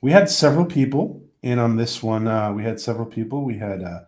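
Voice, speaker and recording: A man hosting a casual livestream who is losing his voice. He talks haltingly, with many ums and restarts. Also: medium pitch, a little mellow. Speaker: livestream host.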